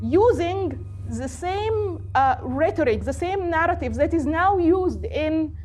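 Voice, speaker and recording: A middle-aged woman with an Egyptian accent, a native Arabic speaker, giving an earnest, deliberate political talk off the cuff. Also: extremely high pitch, lively intonation, darkish tone. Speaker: political activist and guest speaker.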